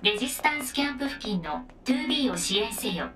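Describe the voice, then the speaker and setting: An actress voicing an emotionless robotic support pod in a video game, giving clipped formal reports. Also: female voice, fairly high pitch, very animated, fast, sharp tone, slight background noise. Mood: impassive, businesslike. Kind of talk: video game mission briefing.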